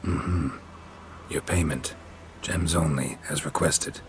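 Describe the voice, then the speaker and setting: A man playing a stoic, world-weary middle-aged monster hunter in a video game, terse and deadpan, with a gravelly growl. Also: a bass voice, slow, background noise. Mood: dry, composed. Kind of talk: video game cutscene acting. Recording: game cutscene with music behind.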